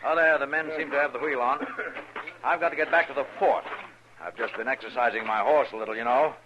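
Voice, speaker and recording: An actor playing a self-assured, condescending cavalry major in a radio western, speaking in a breezy, formal, dismissive way. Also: male voice, mid-range voice, a little fast, noisy background, lightly acted. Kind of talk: 1950s radio western acting. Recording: muffled old radio recording.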